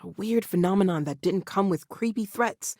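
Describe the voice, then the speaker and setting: A woman's voice: medium pitch, normal speed, mellow, no echo, clean studio sound, lightly acted. An actress playing an earnest, conversational woman scientist in a science fiction video game. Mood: weary, sincere. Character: earnest, excitable young woman scientist of a space explorer group. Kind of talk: naturalistic video game dialogue acting.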